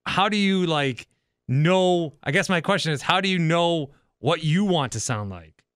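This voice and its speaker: A man's voice: on the high side, lively intonation, measured pace, fairly bright tone, dry recording, clean studio sound. A man in his thirties hosting a casual podcast interview, talking in a rambling, self-correcting way. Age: 30s. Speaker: podcast host and radio host.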